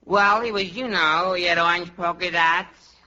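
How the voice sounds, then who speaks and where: An actor playing a flippant, slangy, streetwise knave in a 1950s radio comedy, offhand and a bit defensive. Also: male voice, high voice, steady tone, measured pace. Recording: muffled, very noisy old radio recording.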